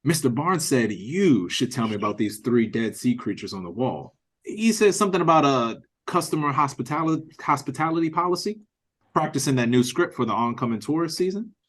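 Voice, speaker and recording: A man playing a chatty, cheeky customer in an audio drama read-through, with exaggerated politeness and hesitant pauses. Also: medium pitch, very animated, a bit bright. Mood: teasing. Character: chatty, cheeky, hungry male restaurant customer. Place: remote reading over a video call. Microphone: video call.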